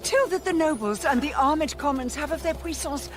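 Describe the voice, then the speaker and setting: Worried lord's wife, shakespeare radio play, emotional, high lively voice, music behind. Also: female voice, normal speed. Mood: urgent. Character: anxious, resigned wife of an older nobleman. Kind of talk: Shakespearean radio drama acting.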